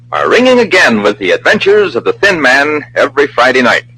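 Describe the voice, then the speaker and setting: A man's voice: mid-range voice, bright, forceful tone, husky, poor audio. A man announcing a show promotion in 1940s radio style, polished, playful and theatrical. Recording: old radio broadcast recording.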